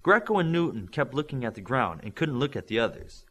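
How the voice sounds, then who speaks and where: A man's voice: mid-range voice, fairly quick. A man reading a novel aloud as audiobook narrator, voicing the characters. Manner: expressive, varied voices. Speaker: audiobook reader.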